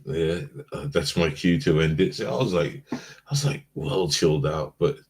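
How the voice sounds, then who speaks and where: A man co-hosting a casual live-stream chat, drowsy from being stoned, rambling and trailing off in a mellow way. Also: extremely low pitch, animated, normal speed. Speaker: podcast co-host.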